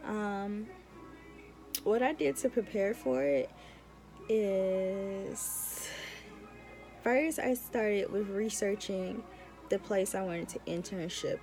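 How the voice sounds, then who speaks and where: A woman's voice: medium pitch, takes it slowly, long pauses, a little mellow, faint music behind, poor audio. A young adult woman, a law student, chatting informally and giving advice in a casual vlog with emphatic repetition.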